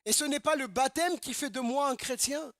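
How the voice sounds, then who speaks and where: A man from Normandy delivers a sermon in an emphatic, repetitive style full of rhetorical questions. His voice sits very high and sounds bright and forceful. The sound is dry and close, with almost no room echo. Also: moderate pace. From Normandy, France. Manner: emphatic, repeats phrases, asks rhetorical questions. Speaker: pastor. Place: church service.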